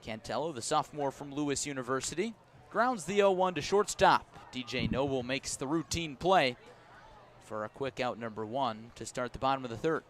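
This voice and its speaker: An American man doing live play-by-play baseball commentary for radio, measured between pitches and lifting on plays. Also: animated, fairly bright tone, a little hiss or hum. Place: outdoor ballpark broadcast.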